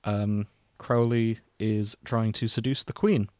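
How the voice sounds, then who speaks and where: A man's voice: lowish voice, steady tone, takes it slowly, darkish tone, no room sound. Guy hosting a jokey podcast w friends, kinda wry, very dry sound. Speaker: podcast host. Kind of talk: casual comedy chat with friends.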